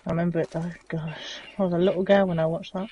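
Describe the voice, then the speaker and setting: A woman in her forties, hoarse and losing her voice, chatting playfully and teasing while gaming on a stream. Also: on the low side, flat delivery, fast talker, warm tone, muffled recording. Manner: playful, teasing, coos at animals. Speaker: streaming co-player. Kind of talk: casual chat while gaming.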